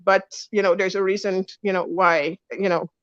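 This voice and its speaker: A woman calls in to a live stream and rambles along, full of "you know"s. Her audio is dull and muffled, completely dry, with no room sound at all. Her voice sits at a middle pitch. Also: fairly even intonation, moderate pace, smooth, no room sound. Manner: rambling, with many fillers. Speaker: caller. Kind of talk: phoned-in chat.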